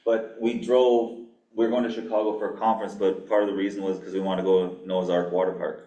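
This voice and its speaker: A man from Canada giving an academic lecture as a guest pharmacy professor, with a flat monotone delivery, dry asides and many ums. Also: sits low, fast talker. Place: lecture hall. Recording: echoey hall, mic far off.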